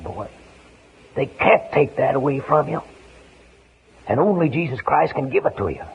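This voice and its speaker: A man's voice: mid-range voice, creaky. An actor playing an earnest, fatherly ex-convict evangelist in a persuasive, plain-spoken 1950s radio style. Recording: noisy, tinny 1950s radio broadcast.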